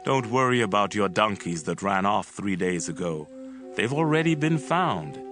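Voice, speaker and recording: Guy as a calm prophet in bible drama, low voice, assured and authoritative, music playing. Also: normal speed, close to an everyday voice. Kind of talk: dramatized audio scripture.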